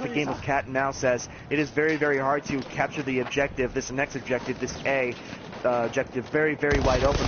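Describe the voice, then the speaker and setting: Guy casting a match, fast play-by-play, dull lo-fi sound with loud noise behind. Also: mid-range voice. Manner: rapid, animated play-by-play with chuckles. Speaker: match commentator. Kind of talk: esports play-by-play commentary.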